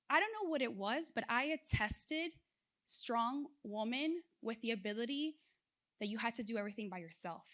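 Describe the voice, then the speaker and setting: Woman conference speaker from South Florida, lively, engaging, rather high voice. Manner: animated, engages audience with questions. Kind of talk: motivational keynote talk.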